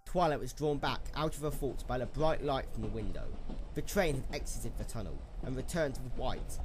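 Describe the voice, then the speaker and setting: A man's voice: mid-range voice, noisy background. A man reading scripted story narration as the narrator of an audio drama.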